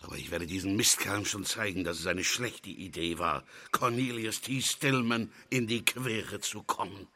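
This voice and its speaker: A man playing an irascible, domineering American businessman in a German audio drama, gruff, imperious and contemptuous. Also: on the low side, normal speed, hardly any pauses, bright, forceful tone, a little hiss or hum. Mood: indignant.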